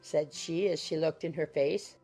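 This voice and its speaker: A woman's voice: deep voice, unhurried, warm tone, faint music behind, poor audio. A woman reading scripted literary narration as an audiobook narrator.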